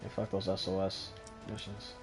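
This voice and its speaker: A man's voice: low voice, completely flat delivery, normal speed. A young adult man streaming a video game with casual, profane, slangy commentary. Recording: game music behind the voice.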